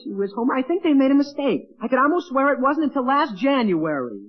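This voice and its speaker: A man's voice: extremely high pitch, sing-song, fast, exaggerated voice. An actor playing a flustered, whiny teenage boy with a cracking voice in 1940s radio comedy style. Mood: flustered, dismayed. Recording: dull, muffled vintage radio recording.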